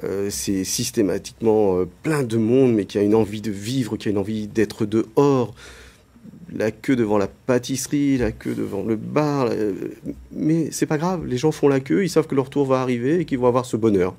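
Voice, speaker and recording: A man's voice: mid-range voice, moderate pace, creaky, warm tone. A man speaking as a panel guest, enthusiastic, with many fillers. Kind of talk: studio panel discussion.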